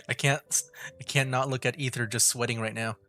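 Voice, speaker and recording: A man giving casual game commentary as a streamer, thinking aloud in a halting, musing way. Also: medium pitch, steady tone, bright, forceful tone. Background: game music.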